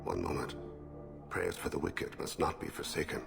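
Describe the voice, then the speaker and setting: A solemn, devout alien assassin from a video game, with an extremely deep and gravelly voice. He speaks in formal, contemplative phrases in a long reverberant space, with ambient music underneath. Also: steady tone, moderate pace, heavily acted. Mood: solemn, calm.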